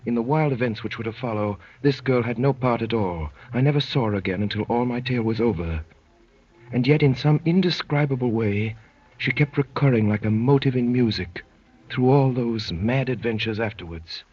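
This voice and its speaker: Muffled 1930s radio drama sound carries a man acting a gentleman poet. His voice is husky and mid-pitched. He speaks in measured literary phrases, courteous and dryly wry, as he tells of a strange adventure. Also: faint music behind, natural voice. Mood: grave, wondering.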